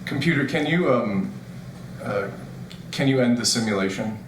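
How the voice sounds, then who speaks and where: A man playing a bewildered, weary man in a naturalistic audio drama, hesitant and halting. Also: medium pitch, lively intonation, echoey room, slight background noise, lightly acted, poor audio. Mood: pleading. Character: bewildered, weary dead man revived inside a simulation.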